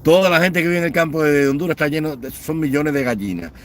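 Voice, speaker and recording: A man's voice: a little high, fast talker, slight background noise. A man giving an impassioned political rant, piling up scornful lists and mocking. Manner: fiery, repetitive listing, mocking. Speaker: political commentator.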